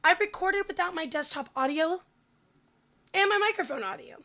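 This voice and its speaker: Woman, raspy cracking voice, fry-heavy, forceful edge, self-deprecating, stumbling casual gameplay commentary. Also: very high voice, animated, normal speed. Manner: rambling, self-deprecating, stumbles over words. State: hoarse voice. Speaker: gaming video host.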